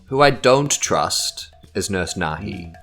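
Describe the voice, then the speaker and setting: A man with a New Zealand accent and a low voice chats as a player in a tabletop game. His talk is casual and improvised, with faint music underneath. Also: lively intonation, slow, a bit bright.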